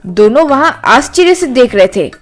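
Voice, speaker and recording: A woman's voice: high voice, sing-song, moderate pace, soft background music. A woman narrating a scripted children's story.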